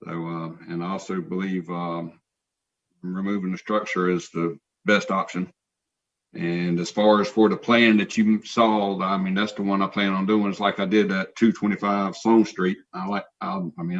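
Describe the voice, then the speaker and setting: A man's voice: deep voice, muffled recording. A man, a builder addressing a hearing in a plain, polite way with a few fillers. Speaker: applicant, builder. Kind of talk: remarks at a public hearing.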